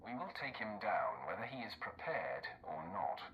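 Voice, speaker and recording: Very deep, cold Sith warrior. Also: male voice, wildly swooping pitch, normal speed, dull audio, a little hiss or hum. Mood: cool confidence. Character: cold, confident young Sith warrior, quietly menacing. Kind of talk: video game voice acting.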